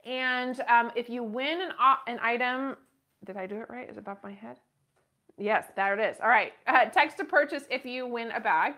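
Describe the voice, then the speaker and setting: A woman's voice: fairly high pitch, normal speed, pushed and bright. A woman in her thirties hosting a live online purse auction in a shop, chatty and bubbly as she calls out bids. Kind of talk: live-stream sales auction. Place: western boutique shop, indoors.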